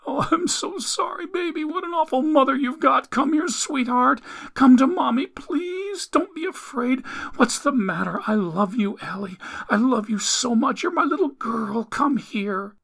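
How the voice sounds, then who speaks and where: A man's voice: extremely high pitch, slow pace, creaky, few pauses, a bit bright, pitched above the performer's own voice, full character voice. A man voicing a tearful, needy mother in an audiobook, pleading and swinging between scolding and cooing. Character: tearful, needy, manipulative mother, adult woman. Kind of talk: dramatic audiobook character voice.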